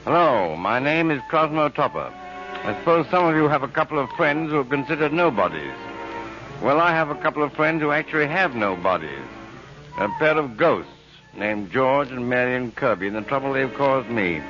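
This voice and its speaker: An actor playing a mild, flustered middle-aged husband in a 1940s radio comedy, hesitant and dryly droll. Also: male voice, mid-range voice, sing-song, normal speed, over music, natural voice. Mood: wry. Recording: dull old radio recording.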